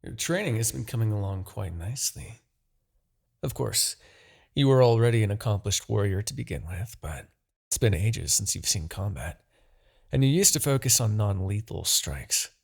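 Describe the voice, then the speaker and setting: A man playing a smug, menacing demon king, obsessed with his rival, in a theatrical, deliberate fantasy roleplay monologue with a husky, gravelly voice. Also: fairly low pitch, expressive, heavily acted. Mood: smug, approving. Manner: theatrical, gloating, deliberate, grandiose.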